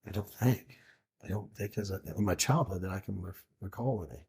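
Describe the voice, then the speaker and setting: A man's voice: fairly low pitch, brisk, vocal fry, a little mellow. A man in his forties, a guest in a casual interview, talking in a rambling way with many fillers and restarts. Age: 40s. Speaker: guest, food truck owner.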